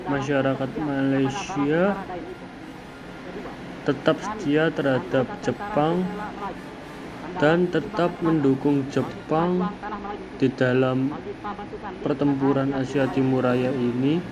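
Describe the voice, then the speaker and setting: A young man gives an explanatory academic presentation as a student, speaking haltingly in short phrases. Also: mid-range voice, steady tone, a crowd in the background. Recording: dull lo-fi audio, clear background noise.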